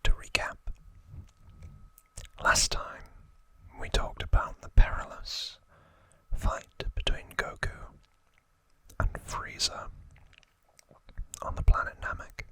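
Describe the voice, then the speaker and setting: A man in his thirties whispering in a breathy voice, telling a story as an ASMR host in short phrases with pauses. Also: pushed and bright, dry recording. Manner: slow, deliberate, short phrases with long pauses.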